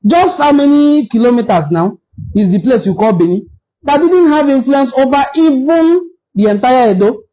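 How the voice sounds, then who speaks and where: A man's voice: extremely high pitch, expressive, normal speed, mellow, poor audio. A man from Nigeria with an Igbo-language accent, speaking as the host of an informal, impassioned history talk in an assertive, argumentative way with emphatic repetition.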